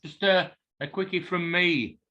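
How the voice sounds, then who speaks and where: A man's voice: high-pitched, measured pace, sharp tone, dull audio. A man in a language class tentatively putting a question as a learner.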